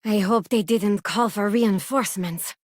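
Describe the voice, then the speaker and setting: A woman's voice: medium pitch, a little slow, no echo, clean studio sound, close to an everyday voice. An actress playing an earnest, loyal and idealistic young woman crewmate in a space video game, speaking conversationally. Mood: wary.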